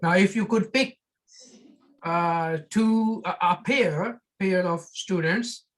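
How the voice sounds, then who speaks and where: A man's voice: high-pitched, slow pace, a bit bright, low-quality recording. A man lecturing, politely giving instructions for a classroom reading exercise.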